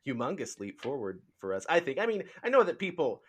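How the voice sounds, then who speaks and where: A man hosting a podcast in casual interview chat, speaking haltingly and rambling as he searches for words. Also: medium pitch, big pitch swings, fairly bright tone, breathy edge. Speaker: podcast host.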